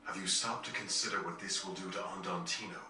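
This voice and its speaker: A man acting a refined, serious gentleman in a video game, formal, polite and pointed. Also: sits low, steady tone, quick pace, a little hiss or hum, exaggerated voice. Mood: coolly challenging. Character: refined, serious gentleman, coolly probing and courteous. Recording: echoey, distant, over faint game music.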